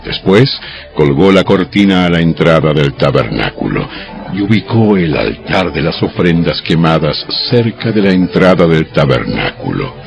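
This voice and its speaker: A man narrating dramatized scripture from a script in a solemn, deliberate storytelling manner. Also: deep voice, big pitch swings, vocal fry. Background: music.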